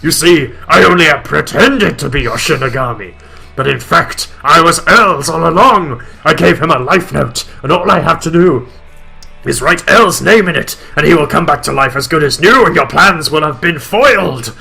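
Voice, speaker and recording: Man putting on a loud, gloating death spirit. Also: fairly high pitch, expressive, normal speed, vocal fry, breathy edge, faint music behind, pitched above the performer's own voice, heavily acted. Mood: gloating, triumphant. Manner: shouting, declamatory.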